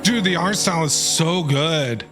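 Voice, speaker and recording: A man's voice: on the high side, measured pace. A man doing casual, enthusiastic live game commentary as a streamer, repeating his exclamations. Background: game music.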